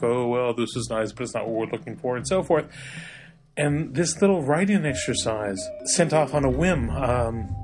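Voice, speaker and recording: A man with a medium-pitched voice speaks as an author introducing his work. He is informal, self-effacing and a little hesitant. Guitar music plays clearly behind him. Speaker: author giving notes on his story. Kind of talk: informal spoken author's notes.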